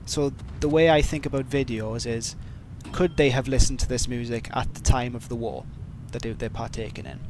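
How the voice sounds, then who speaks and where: A man's voice: mid-range voice, steady tone, normal speed, few pauses, noisy background. A man with a heavy Welsh accent chatting casually on a livestream, reading and answering viewer questions in a rambling, self-deprecating way with many fillers. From Wales. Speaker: streamer.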